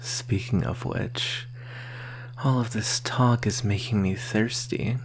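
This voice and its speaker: A woman acts out a thirsty vampire in an ASMR roleplay, whispering in a low, breathy voice. The delivery is extremely slow, teasing and intimate, with menace under the flirtation. It is recorded close to the mic over a faint hiss. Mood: teasing, menacing. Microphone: close microphone. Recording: faint steady hiss and hum.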